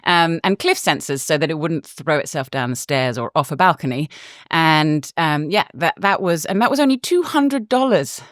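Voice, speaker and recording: A woman's voice: sits low, expressive, moderate pace, a bit bright, no echo, clean studio sound. A woman guest reporter explaining things in a casual podcast chat, with lots of fillers, restarts and easy laughs. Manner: chatty, laughs often, many fillers and restarts.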